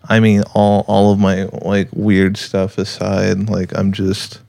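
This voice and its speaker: Guy almost 30, really deep gravelly voice, talks slow and hesitant, picks words carefully. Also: completely flat delivery, darkish tone. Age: late 20s. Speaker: podcast guest. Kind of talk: casual interview.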